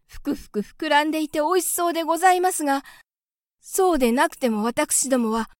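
A frantic little mother field mouse, as a woman reader puts her on, pleading in a high, strained voice. She speaks with humble, old-fashioned politeness. The sound is dry and close, like a storybook read at the mic. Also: no echo, close to an everyday voice.

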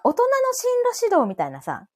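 A woman's voice: a little high, huge pitch swings, brisk, a little mellow. A middle-aged woman with a Kansai accent chatting casually and playfully as co-host of a live stream, prone to giggling.

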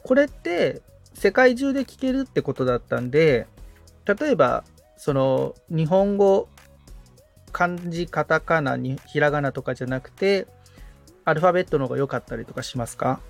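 A man's voice: fairly high pitch, animated, moderate pace, vocal fry, soft background music. A man assisting on a podcast, politely following along and describing each step aloud. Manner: polite, attentive, agreeable.

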